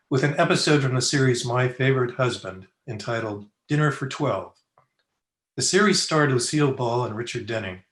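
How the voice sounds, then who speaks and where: A male announcer reads scripted narration in a mid-range voice. The sound is a video-call recording with a clearly echoey room around him. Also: medium pitch, a bit bright.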